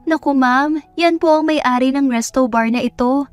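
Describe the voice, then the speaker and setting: A woman narrator acts out a chatty restaurant waitress for a romance audio drama. The voice is fairly high, polite and deferential. It is recorded dry with music underneath. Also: moderate pace, dry recording, close to an everyday voice. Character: polite, chatty young waitress at a resto bar.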